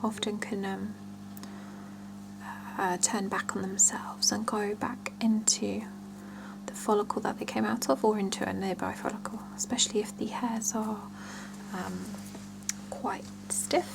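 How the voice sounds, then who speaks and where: A woman doing an ASMR salon roleplay, talking gently and reassuringly to a client in a breathy whisper mixed with soft voicing. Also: medium pitch, steady tone, noisy background. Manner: slow, gentle, reassuring, with murmured pauses. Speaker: ASMR roleplayer playing a salon scalp-check attendant. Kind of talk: ASMR roleplay, one-sided chat with a client.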